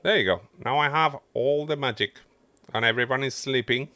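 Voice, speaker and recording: A man's voice: mid-range voice, normal speed, pushed and bright, no echo, faint music behind. A man doing casual livestream game commentary, muttering his running thoughts to himself as he plays. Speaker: game streamer.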